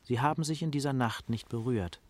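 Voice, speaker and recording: A man narrating a scripted radio drama as a sober literary storyteller, measured and dryly ironic. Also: mid-range voice, close to an everyday voice. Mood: matter-of-fact.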